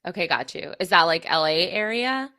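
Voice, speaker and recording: Bright-voiced bubbly woman host. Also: on the low side, animated, moderate pace. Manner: bubbly, giggly, filler-heavy. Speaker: podcast host. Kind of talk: casual interview chat.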